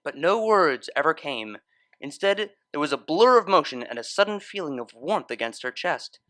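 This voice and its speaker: A man's voice: a little high, big pitch swings, normal speed, bright, forceful tone, dry recording. A man narrating a scripted story in a dramatic, literary style. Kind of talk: expressive scripted fan fiction narration.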